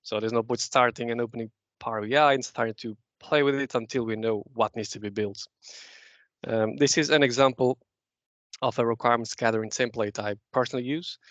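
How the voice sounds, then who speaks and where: A man's voice: medium pitch, dry recording. Man giving a muffled user-group talk. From Portugal. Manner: relaxed, explanatory, frequent "uh" restarts. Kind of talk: conference talk with slides.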